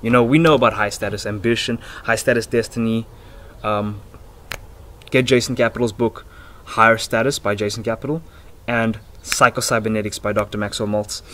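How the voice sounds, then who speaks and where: A man in his twenties chatting casually and excitably in slangy, rambling talk, like a vlogger. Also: on the low side, moderate pace. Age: 20s. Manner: excitable, slangy, swearing, breaks into giggles. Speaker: self-improvement vlogger. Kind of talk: casual chat, rambling monologue. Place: outdoors on a house porch. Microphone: laptop built-in microphone at arm's length. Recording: noisy laptop microphone outdoors.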